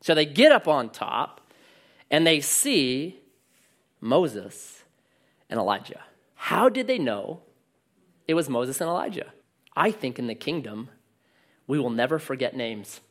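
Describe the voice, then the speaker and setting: Loud, lively male preacher. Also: mid-range voice, unhurried, pauses often. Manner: loud voice that carries; animated storytelling with jokes. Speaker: pastor. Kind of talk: sermon.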